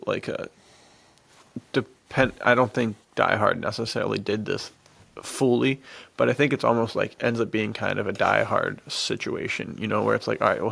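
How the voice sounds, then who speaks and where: Male co-host, low-pitched with plenty of vocal fry, excitable, slangy and gushing casual chat. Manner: gushing, slangy, laughs often. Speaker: podcast co-host. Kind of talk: casual enthusiastic chat about action films.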